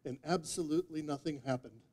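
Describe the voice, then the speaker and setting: Mellow, higher-pitched man telling a tall tale. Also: fairly quick, husky. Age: 50s. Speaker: speech contest speaker. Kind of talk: humorous tall-tale storytelling speech. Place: meeting room before a live audience, indoors. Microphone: distant audience camera microphone.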